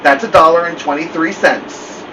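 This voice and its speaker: A man's voice: a little high, normal speed, voice pushed up, lightly acted. A man voicing an easygoing neighbourhood grocer in a storybook read-aloud, plain and matter-of-fact. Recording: muffled lo-fi, distant mic, loud hiss.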